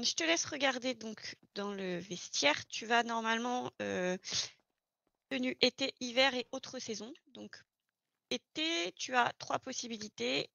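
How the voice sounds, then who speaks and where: A woman's voice: a little high, gravelly, sharp tone, no room sound, dull audio. A woman informally giving instructions and showing someone around during an improvised online roleplay. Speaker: player acting a garage employee training a newcomer.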